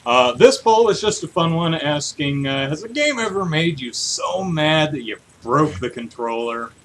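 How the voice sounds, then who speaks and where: A man in his thirties hosting a podcast in casual chat, with an animated, self-deprecating way of telling stories. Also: on the high side, moderate pace. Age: 30s. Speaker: podcast host. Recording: clear steady background noise.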